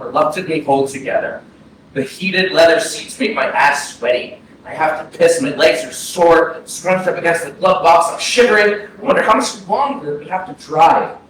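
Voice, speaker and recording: A man's voice: a little high, a bit bright, heavy reverb, lightly acted. Male actor as a mourning youth, self-conscious, poetic stage drama, distant microphone, faint noise. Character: grieving, self-conscious young man mourning his dead friend. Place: indoor arts center stage, recorded from afar.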